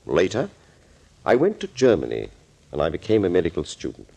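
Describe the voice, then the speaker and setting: An actor playing a sinister middle-aged doctor, florid and mock-polite, in a 1940s radio thriller. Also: male voice, low-pitched, huge pitch swings, a little fast, vocal fry, darkish tone, slightly rough, heavily acted. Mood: brooding, bitter. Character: sinister middle-aged male doctor, suave, gloating schemer.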